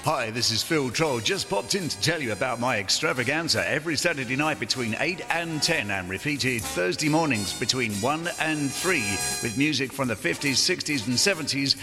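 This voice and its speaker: A male radio presenter plugs his weekend show in a breezy, jokey way. His voice is mid-pitched, with a long reverb tail and clear music playing under it. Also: animated, moderate pace, hardly any pauses. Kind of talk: show promo.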